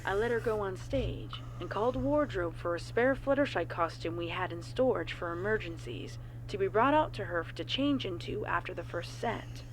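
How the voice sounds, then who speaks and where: Female narrator, measured formal report reading, medium pitch, slight background noise. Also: rough recording. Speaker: narrator of a horror fan fiction reading.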